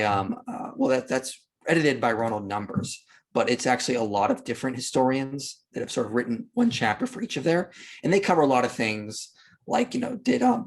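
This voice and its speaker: A man speaking as an interview guest, explaining history in unscripted podcast talk with an emphatic, argumentative manner. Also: mid-range voice.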